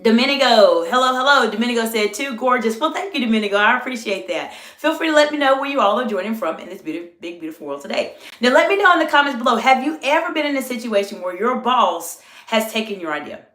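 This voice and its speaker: A middle-aged woman who is an executive coach, talking live to viewers and their chat while she is under the weather, with her voice lower than usual. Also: lively intonation, fast. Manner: warm greetings to viewers, coaching tone. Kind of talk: live-stream talk to chat. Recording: echoey room.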